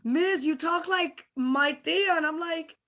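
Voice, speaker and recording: A woman's voice: very high voice, takes it slowly, bright, forceful tone. A woman from Corpus Christi, Texas, a teacher chatting in a class discussion and telling stories that act out the people in them. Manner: chatty, tells anecdotes acting out others. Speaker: teacher in training.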